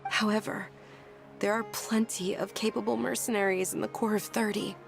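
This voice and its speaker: An actress playing a well-bred, caring young noble lady in a video game, with a polite, gentle, articulate manner. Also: medium pitch, steady tone, moderate pace, vocal fry, natural voice. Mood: concerned, thoughtful. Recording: faint game music behind the voice.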